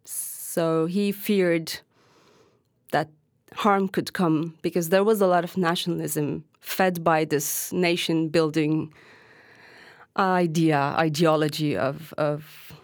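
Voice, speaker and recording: A woman's voice: sits low, fairly even intonation, takes it slowly, no echo, studio quality. A woman journalist from Turkey, bilingual with Arabic as her first language, answering interview questions thoughtfully, often restarting phrases and laughing lightly. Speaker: journalist guest. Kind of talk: podcast interview.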